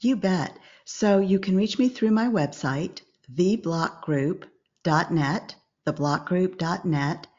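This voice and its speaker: Calm older woman coaching over a video call. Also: fairly low pitch. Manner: calm, measured and instructive. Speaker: podcast guest, business coach. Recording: slightly dull video-call audio.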